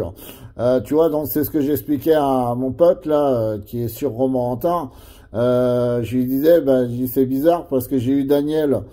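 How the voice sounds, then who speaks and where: A man of average pitch with a deep, dark, mellow tone. He chats over CB radio and explains things at length, hesitating often. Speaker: CB radio operator. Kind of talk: casual radio chat.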